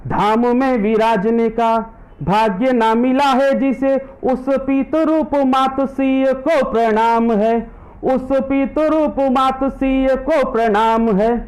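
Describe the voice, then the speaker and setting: A man's voice: very high-pitched, darkish tone, poor audio. A man reciting his own comic and devotional verses live online. He says every line twice and stops between poems to greet viewers by name. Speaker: poet; government teacher.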